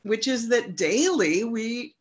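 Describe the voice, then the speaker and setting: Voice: mid-range voice, animated, slow pace. A panelist recounting a story in a reflective way and correcting themself mid-sentence. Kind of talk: panel discussion.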